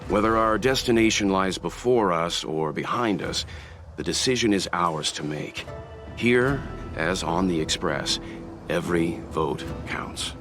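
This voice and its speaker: Deep, thoughtful male mentor. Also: animated, a bit bright, faint music behind. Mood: reflective, solemn. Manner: deliberate, earnest, thoughtful. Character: wise, steady, middle-aged male mentor of a crew. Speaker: voice actor in a game cutscene. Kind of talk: scripted game dialogue.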